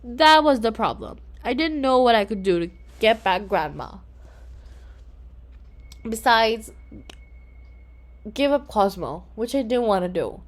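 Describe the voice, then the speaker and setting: A woman narrating a children's story aloud in an expressive read-aloud style. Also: a little high, unhurried, pauses often. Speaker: storybook reader.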